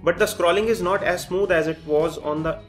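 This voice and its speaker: A man's voice: sits high, brisk, faint music behind. A man giving a methodical, explanatory phone review commentary while demonstrating. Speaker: tech reviewer, host.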